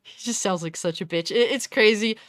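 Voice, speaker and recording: A woman with a fairly deep voice giving a blunt, scornful, profane commentary rant. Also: a little fast, fairly bright tone, dry recording, studio quality. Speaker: commentary YouTuber. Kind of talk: ranting commentary.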